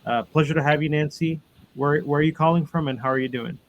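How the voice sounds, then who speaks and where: A man with a fairly high voice moderates an online panel. He reads the guests' bios over a video call and often pauses on "um" and "uh". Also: steady tone, a little hiss or hum. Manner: reads bios with frequent ums and uhs. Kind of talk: webinar introductions.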